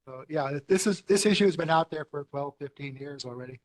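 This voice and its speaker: A man's voice: a little high, fast talker. A man speaking at a neighborhood board meeting, matter-of-fact as he adds background and corrections. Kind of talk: public meeting comment. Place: community meeting room.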